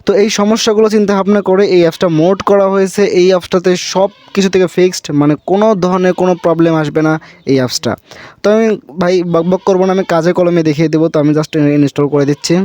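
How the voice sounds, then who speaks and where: A man's voice: high voice, steady tone, fast talker, mellow, no echo. A Bangladeshi man hosting an informal, unscripted app tutorial, repeating himself and often checking in with viewers in a familiar, brotherly way. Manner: repetitive, addresses viewers as brother, frequent check-in tags. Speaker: tutorial host.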